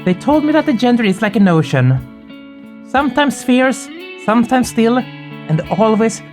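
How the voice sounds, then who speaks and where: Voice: mid-range voice, lively intonation, measured pace, a little mellow, faint music behind. A game streamer with an informal, candid, somewhat hesitant way of talking, chatting casually and reading visual novel text aloud. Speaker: host of a visual novel playthrough channel.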